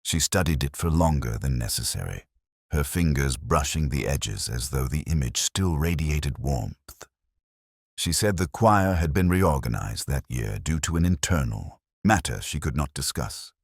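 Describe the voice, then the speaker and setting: Guy playing a brooding english detective telling his case, super deep voice, solemn n measured, dry studio sound. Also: lively intonation, husky, dry recording, exaggerated voice. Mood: pensive, wry. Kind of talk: scripted first-person mystery narration, literary.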